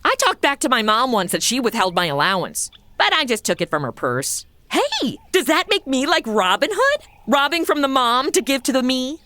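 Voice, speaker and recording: Flippant, high-pitched, bright modern chatterbox. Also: female voice, big pitch swings, moderate pace, hardly any pauses, heavily acted. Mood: chatty, flippant. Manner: snarky, casual, rambling. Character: flippant modern young woman, chatty, easily distracted, irreverent. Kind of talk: comedy audio drama, modern asides.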